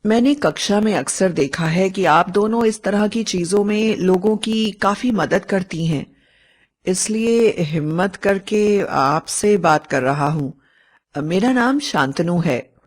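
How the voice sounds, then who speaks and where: A woman voicing a polite, cool and mysterious young male college student in an animated story, with a courteous, measured manner. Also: mid-range voice. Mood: polite, hesitant.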